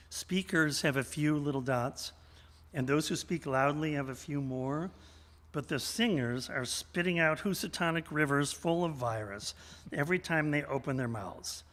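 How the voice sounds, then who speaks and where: Guy hosting live radio theater, bit high voice, folksy n tongue in cheek, big echoey church. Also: a bit bright. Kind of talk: live stage hosting, storytelling. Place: large church sanctuary, indoors. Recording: reverberant church sanctuary.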